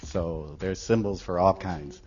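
A hoarse man getting over an illness, proposing an idea at a congregation meeting in a halting way, with many fillers. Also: low voice, darkish tone. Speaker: congregation member. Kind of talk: casual talk at a meeting. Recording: dull lo-fi room recording.

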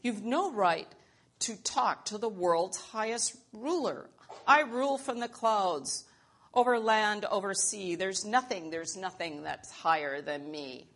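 A woman voicing a pompous, bossy male turtle king in a storybook, loud, commanding and boastful. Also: medium pitch, expressive, slow pace, heavily acted. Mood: scolding.

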